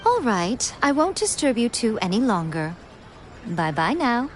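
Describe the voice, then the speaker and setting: A woman's voice: a little high, big pitch swings, a little slow, soft background music. A woman voicing a sly, teasing lady publisher in a video game, playful, coy and self-assured. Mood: pleased, playful.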